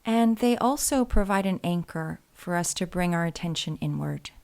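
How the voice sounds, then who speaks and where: A woman leading a guided body scan meditation in a soothing, gentle and unhurried way, leaving pauses between phrases. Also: fairly low pitch, animated, vocal fry.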